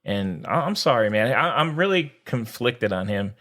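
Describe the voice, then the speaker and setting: A man's voice: mid-range voice, animated, moderate pace, bright, forceful tone. An American man co-hosting a sports podcast, chatting casually in a blunt, informal way with mildly crude slang.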